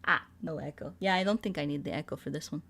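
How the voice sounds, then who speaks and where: A woman's voice: sits low, quick pace, gravelly, fairly bright tone. A woman streamer in casual livestream chat with her audience, playful and rambling, often repeating her phrases in a singsong way. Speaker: streamer singing requests from chat.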